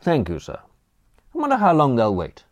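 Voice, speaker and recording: A man voicing an unflappable, deferential gentleman's valet in an audiobook, speaking in measured, formal phrases. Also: medium pitch, huge pitch swings, dry recording, voice pushed up. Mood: calm, deferential. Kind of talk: audiobook character dialogue.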